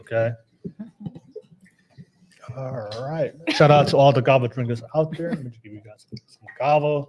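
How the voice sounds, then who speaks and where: A man's voice: mid-range voice, moderate pace, long pauses, highs cut off. A man hosting a casual live podcast, upbeat, laughing often and restarting his sentences. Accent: Californian. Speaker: podcast host.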